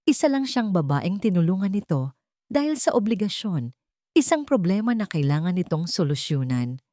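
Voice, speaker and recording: A woman's voice: on the low side, big pitch swings, moderate pace, warm tone, dry recording, studio quality. A woman narrating a scripted romance story in a dramatic style, as a storyteller.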